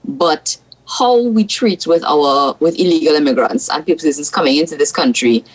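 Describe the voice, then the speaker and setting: Low-voiced Trinidadian woman senator. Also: normal speed, breathy edge, rough recording. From South Trinidad. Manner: blunt, frank opinions. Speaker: senator, guest. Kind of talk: political talk show discussion.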